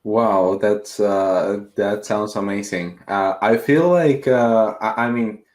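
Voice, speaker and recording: A man's voice: fairly low pitch, takes it slowly, darkish tone. A young man hosting a casual interview over a video call, hesitant and full of fillers. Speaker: host. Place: small room at home.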